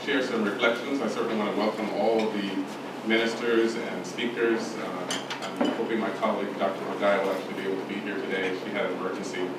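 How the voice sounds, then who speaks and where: Soft-spoken man in echoey hall. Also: lowish voice, little pitch movement, very noisy. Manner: halting, many fillers and restarts. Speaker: conference speaker. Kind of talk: speech at a podium, personal story. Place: conference hall. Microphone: podium microphone. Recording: echoey hall from far off, with clatter of dishes.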